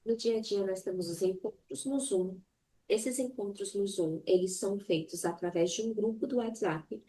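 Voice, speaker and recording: A woman's voice: medium pitch, normal speed, dark tone, a little hiss or hum, poor audio. A woman speaking as a mentor and podcast guest, exhorting the listener directly with emphatic repetition. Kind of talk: motivational conversational talk.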